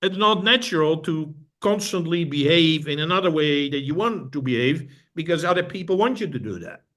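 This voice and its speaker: A man's voice: a little high, animated, normal speed, fairly bright tone. A middle-aged man from the Netherlands with a Dutch accent, speaking as an interview guest in a thoughtful, repetitive way with frequent restarts. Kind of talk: casual interview talk.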